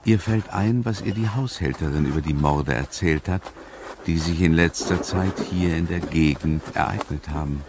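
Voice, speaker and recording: Very deep male suspense narrator. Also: normal speed, noisy background. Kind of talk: scripted dramatic audio drama narration. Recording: music under the voice.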